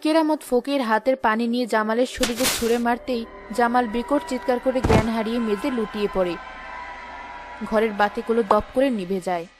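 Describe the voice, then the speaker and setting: Scripted story narration: woman, moderately high voice, music bed underneath. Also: steady tone, normal speed.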